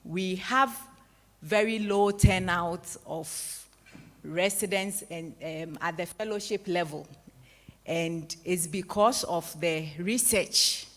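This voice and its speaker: A woman in her forties from Ghana, moderating a public lecture in a large hall, summing up the talk in a measured, formal way before opening the floor. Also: lowish voice, fairly bright tone. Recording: echoey hall with long reverb.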